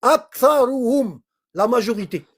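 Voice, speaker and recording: A livestreamer in casual commentary, explaining scripture in an animated way and often checking in with short questions to the listener. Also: medium pitch, measured pace. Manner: animated, acts out little dialogues, keeps checking in with "hein?" and "d'accord?". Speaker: livestreamer explaining Quran verses.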